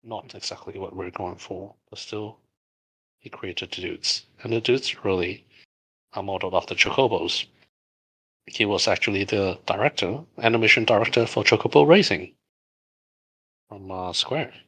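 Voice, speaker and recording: A man's voice: on the low side, normal speed, long pauses, sharp tone, breathy edge, rough recording. A middle-aged man from Hong Kong, a native Cantonese speaker, casually reading out and answering community questions with many fillers and restarts. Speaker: game studio founder answering community questions.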